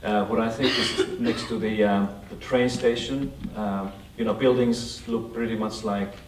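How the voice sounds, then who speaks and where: A man's voice: on the low side, steady tone, measured pace, a little hiss or hum. A man from Finland with a Finnish accent, speaking English as a guest speaker who gives a conversational talk and asks the audience questions. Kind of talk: lecture presentation to an audience. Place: lecture hall. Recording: echoey hall, recorded from a distance.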